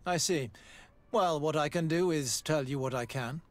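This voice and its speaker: An actor playing a courteous, matter-of-fact military doctor and scholar in a video game, speaking formally and precisely. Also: male voice, fairly high pitch, normal speed, lightly acted. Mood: regretful, matter-of-fact. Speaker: army doctor. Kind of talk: video game dialogue acting.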